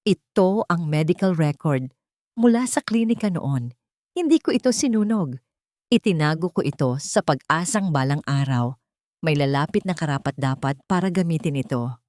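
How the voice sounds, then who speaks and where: A woman's voice: low-pitched, lively intonation, moderate pace, a little mellow, dry recording, clean studio sound. A woman with a Filipino accent voicing a frightened, guilt-laden old servant in an audiobook drama, grave and confiding. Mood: grave, resolute.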